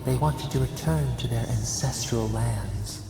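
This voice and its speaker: Game casting: solemn ancient spirit, gravelly, portentous delivery, reverberant, over loud music. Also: mid-range voice. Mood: portentous, reverent. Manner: slow, cryptic, poetic, portentous. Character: ancient, solemn nature spirit recalling shared memories. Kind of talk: video game dramatic narration. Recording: echoing voice under prominent music.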